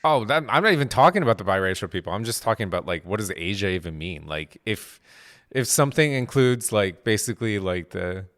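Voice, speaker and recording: A man's voice: lowish voice, animated, a little fast, a bit bright. A middle-aged man from Japan, a game studio co-founder, chatting in an interview with many fillers and restarts. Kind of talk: casual interview conversation.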